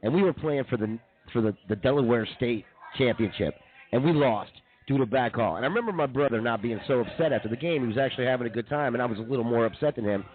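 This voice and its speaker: A man's voice: mid-range voice, quick pace. A man co-hosting a comedy radio show, rambling through casual, slangy banter with his friends. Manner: rambling, slangy, often says "dude". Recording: dull muffled lo-fi audio.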